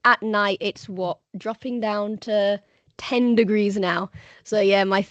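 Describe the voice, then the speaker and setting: A woman teaching the Punjabi language who talks in a chatty, enthusiastic way, with a croaky voice today, speaking on a video call. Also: mid-range voice, steady tone, takes it slowly, no echo. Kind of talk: casual presentation on a video call. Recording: dull, lo-fi video call audio.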